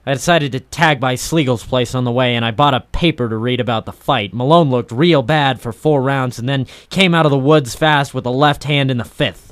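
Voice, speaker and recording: An actor playing a tough, cynical dockside private eye in 1940s radio noir style, deadpan and hard-boiled. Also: male voice, medium pitch, close to an everyday voice. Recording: vintage 1940s radio recording with music.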